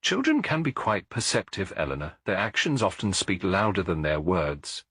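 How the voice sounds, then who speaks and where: A man voicing a kindly, shrewd elderly woman detective who questions people gently and politely in a mystery story. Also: sits low, big pitch swings, normal speed, slightly rough, no echo, clean studio sound, full character voice. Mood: reassuring.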